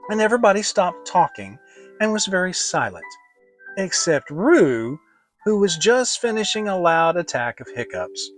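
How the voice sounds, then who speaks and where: Animated male storybook narrator. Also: fairly high pitch, poor audio. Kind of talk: dramatic children's story narration.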